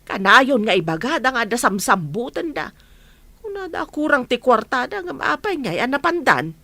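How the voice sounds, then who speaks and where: A woman's voice: fairly high pitch, very animated, moderate pace, bright, forceful tone, dry recording, exaggerated voice. A woman playing a worried village wife and mother who defends her children in a radio drama.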